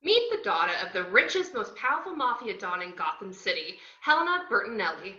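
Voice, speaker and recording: A woman's voice: mid-range voice, big pitch swings, brisk, bright, forceful tone. A woman in a table read, playing a brash, cheeky young narrator with a sardonic, slangy way of telling a crime story. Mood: brash, chatty. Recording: roomy, dull video-call audio.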